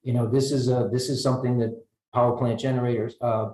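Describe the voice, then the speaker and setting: A man's voice: medium pitch, flat delivery, fast talker, a little mellow. An American man speaking as a panelist in a discussion, with frequent restarts and repeated words as he thinks. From United States. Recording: roomy echo.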